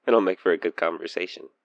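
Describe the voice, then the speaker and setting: A man acting an obsessed, possessive stalker killer in an audio roleplay, teasing, coaxing and menacing, with breathy, intimate delivery. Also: deep voice, moderate pace, dry recording, lo-fi sound. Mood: taunting, playful menace. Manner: theatrical, teasing, intimate, dropping into breathy near-whispers. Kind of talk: audio roleplay monologue spoken to the listener over a phone call.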